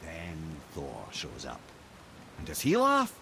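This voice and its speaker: An actor playing a wry, learned old Scottish storyteller in a video game, telling tales theatrically. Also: male voice, rumbling low, wildly swooping pitch, slow pace, vocal fry, pushed and bright, slight background noise, full character voice. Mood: animated, wry.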